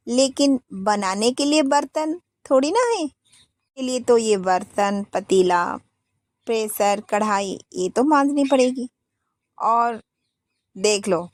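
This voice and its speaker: A stubborn elder daughter-in-law grumbles and refuses her chores, as a woman storyteller puts her on. The voice is slow and a touch high, close and dry. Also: no room sound.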